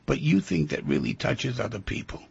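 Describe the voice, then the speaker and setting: A man hosting a call-in radio talk show who asks callers gentle, probing questions. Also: fairly low pitch, very animated, normal speed, gravelly, slightly rough, no room sound, muffled recording.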